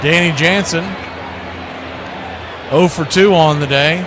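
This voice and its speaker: A man's voice: fairly high pitch, takes it slowly, noisy background. A man calling live baseball play-by-play, conversational, with clipped calls and wry asides. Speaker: play-by-play radio announcer.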